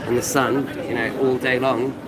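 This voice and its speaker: A man's voice: mid-range voice, little pitch movement, moderate pace, very noisy. A laid-back man asking rambling, challenging questions in a casual street interview outdoors.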